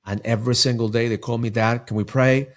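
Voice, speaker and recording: A man's voice: mid-range voice, fairly even intonation, brisk. A middle-aged man from Ecuador, a mindset coach talking earnestly as a podcast guest, often repeating words as he restarts. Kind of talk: podcast interview.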